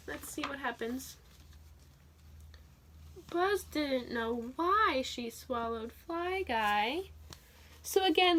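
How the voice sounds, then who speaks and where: A woman teacher reading a picture book aloud to children, sounding out letters and vowel sounds clearly and asking her listeners questions. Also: very high-pitched, a bit bright. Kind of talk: children's read-aloud phonics lesson.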